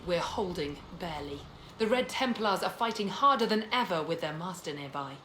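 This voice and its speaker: A woman's voice: on the low side, lively intonation, moderate pace, pushed and bright, roomy sound, a little hiss or hum. An actress playing a loyal woman captain in a fantasy video game, reporting earnestly like a soldier. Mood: resolute.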